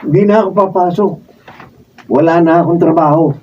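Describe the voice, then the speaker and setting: A man's voice: fairly high pitch, a little fast, warm tone, lightly acted. A Filipino man playing a lazy, sullen young husband who whines and complains in a radio drama, over a muffled recording. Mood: irritated, sullen. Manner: whiny, complaining. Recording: muffled, noisy room, distant mic.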